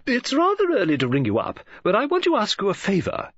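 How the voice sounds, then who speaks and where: A man performs a bubbly middle-aged woman writer who coaxes and fusses. The voice sits very high, husky and lively. The audiobook recording sounds a little dull. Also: a little fast, no echo, heavily acted. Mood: coaxing. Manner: gushing, coaxing, high breathy falsetto. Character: chatty excitable middle-aged female novelist.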